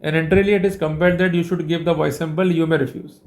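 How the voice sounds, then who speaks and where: A man's voice: a little high, steady tone, fast talker. A man with an Indian accent giving a legal lecture in a formal, wordy, didactic way. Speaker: legal educator and host.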